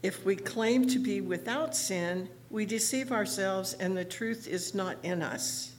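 A woman pastor with a rough voice this morning, giving friendly church announcements with many fillers and leading the liturgy. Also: medium pitch, unhurried. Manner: friendly, chatty, with frequent fillers. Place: church sanctuary.

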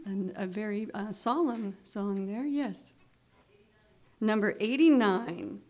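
A woman's voice: medium pitch, slow pace. Woman leading a hymn sing, slightly scratchy. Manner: friendly, chatty, with hesitant restarts. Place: church sanctuary.

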